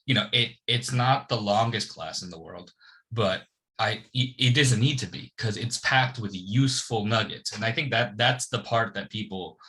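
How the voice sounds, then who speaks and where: A man's voice: on the low side, normal speed, sharp tone. A man hosting an interview, chatting casually and teasing his guest with easy humor. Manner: joking, easygoing. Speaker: host.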